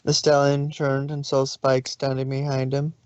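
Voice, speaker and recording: A woman's voice: rumbling low, monotone, moderate pace, darkish tone, clear, resonant tone, dry recording. A woman narrates a story from a script. The recording is somewhat dull and lo-fi, with a very dry sound.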